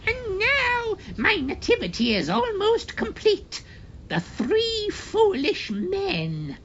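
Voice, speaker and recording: A man playing a wicked old crone from a comic storybook, gloating and theatrical. The voice is extremely high and swooping, on a dull recording. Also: wildly swooping pitch, slow, a bit bright, slight background noise, exaggerated voice.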